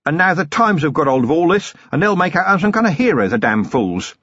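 A man voicing a gruff middle-aged police commander who grumbles dryly. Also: fairly high pitch, big pitch swings, brisk, fairly bright tone, no room sound, muffled recording, voice pushed up, exaggerated voice. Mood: wry. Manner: dry, grumbling and wry.

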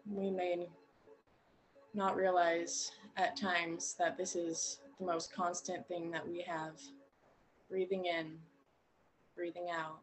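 A woman guiding a meditation in a gentle, unhurried way, leaving long pauses between short phrases. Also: lowish voice, little pitch movement, rough recording. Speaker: meditation guide. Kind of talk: guided meditation narration.

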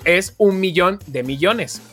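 A man with an accent from Spain chatting casually as a podcast co-host, telling stories in an animated, self-mocking way. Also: sits high, takes it slowly, soft background music. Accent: Spanish from Spain. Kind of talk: casual comedic chat.

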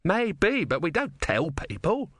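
A man's voice: sits high, wildly swooping pitch, slow pace, fairly bright tone, no room sound, studio quality, voice pushed up, exaggerated voice. A man voicing a suspicious, sneering young male philosopher's nephew in an audiobook story, distrustful and indignant.

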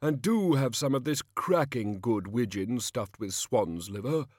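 A man's voice: mid-range voice, animated, a little slow, darkish tone, dry recording, clean studio sound. A man voicing a hearty, overbearing storybook king with pompous, jovial upper-class bluster. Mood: hearty, bluff. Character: hearty, overbearing king, forcing his charity.

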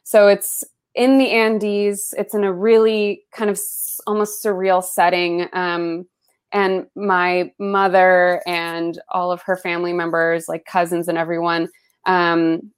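A young adult woman whose first language is Spanish, from the Bay Area, talking in a casual interview. She fills her speech with "like" and "um" and grows animated when recalling stories. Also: fairly low pitch, slow pace, clean tone. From San Francisco Bay Area, US. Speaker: interview guest, writer.

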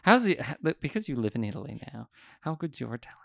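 A man hosting a podcast interview, chatting in a lively way, laughing often and quickly agreeing with his guest. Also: medium pitch, creaky, dry recording, studio quality. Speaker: podcast host. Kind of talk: casual interview chat.

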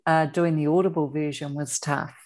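A woman with a New Zealand accent speaking earnestly as an interview guest, deliberate and emphatic, repeating words for weight. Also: low-pitched, steady tone, darkish tone. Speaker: interview guest, author and abuse survivor advocate.

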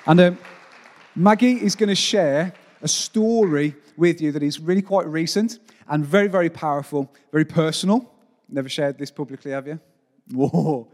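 A man's voice: on the high side, normal speed. A man preaching as pastor to his church congregation, earnest and jokey as he introduces a guest. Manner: earnest, lively, jokes with the congregation. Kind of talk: church sermon, introducing a speaker. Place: large church hall. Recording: church hall with long reverb.

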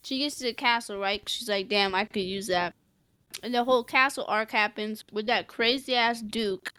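A woman co-hosting a casual anime recap chat, expressive and blunt, swearing freely in slangy talk. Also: medium pitch, moderate pace, clean tone, no room sound. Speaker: podcast co-host recapping an anime.

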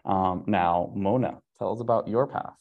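A man's voice: on the low side, moderate pace, narrow, tinny audio. A man hosting a podcast, asking guests long, rambling questions in a chatty way. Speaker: podcast host. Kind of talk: interview hosting.

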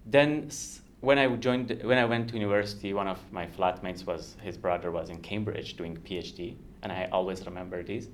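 A man in his thirties from Iran with an Iranian accent, a native Kurdish speaker, talking earnestly and haltingly as a podcast guest who laughs often. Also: sits low, a little hiss or hum. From Iran, Kurdistan region. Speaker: guest researcher. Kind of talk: podcast interview.

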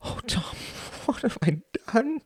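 A man voicing an anguished, frantic young man in an audiobook, with a high, strained, wailing delivery and breathy gasps. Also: sing-song, drawn-out words, fairly bright tone, no room sound, clean studio sound, exaggerated voice.

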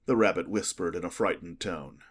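A man narrating a children's story in an expressive, scripted audiobook style. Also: low voice, brisk, slightly rough.

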